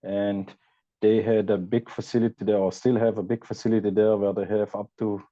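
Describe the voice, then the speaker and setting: A man from Austria with an Austrian accent, a podcast guest chatting casually and pausing to search for words. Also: on the low side, flat delivery, mellow, no room sound, highs cut off. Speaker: guest, EV content creator. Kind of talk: casual interview chat.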